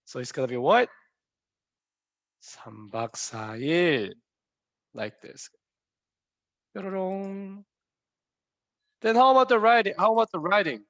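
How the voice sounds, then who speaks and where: A man's voice: high-pitched, lively intonation, normal speed, pauses often, a bit bright, no echo, lo-fi sound. A young man from Korea with a Korean accent, a native Korean speaker teaching a language lesson in English, playful and quizzing his viewers with repeated questions.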